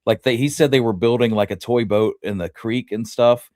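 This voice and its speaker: A man co-hosting a casual history discussion, thinking aloud in a halting way. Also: medium pitch, a little mellow, no room sound, clean studio sound. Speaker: history show co-host.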